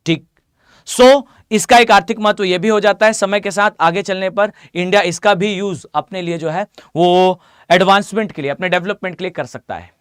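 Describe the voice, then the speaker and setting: A hoarse man with an Indian accent teaching a live online class, often asking students to reply. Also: sits high, moderate pace, fairly bright tone, dry recording, clean studio sound. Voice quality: mixes Hindi with English phrases. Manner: addresses students directly, asks them to reply.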